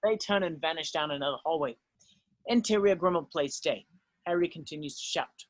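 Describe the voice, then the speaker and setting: A man's voice: fairly high pitch, brisk, no room sound. A man narrating stage directions in an amateur screenplay table read.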